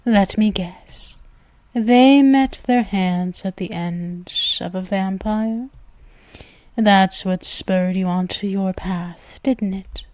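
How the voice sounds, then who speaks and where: A woman voicing a seductive, menacing female vampire ruler in an ASMR audio roleplay, speaking slowly and teasingly and slipping into whispers, close to the mic. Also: medium pitch, darkish tone, no echo. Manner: slow, purring, teasing, often whispering.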